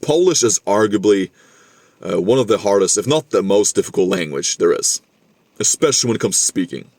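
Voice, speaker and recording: A man's voice: on the low side, animated, a little fast, darkish tone, dry recording. A young man with a slightly Scandinavian-tinged English accent, chatting casually to camera, repeating himself and calling the listener bro. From Norway. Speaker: vlogger.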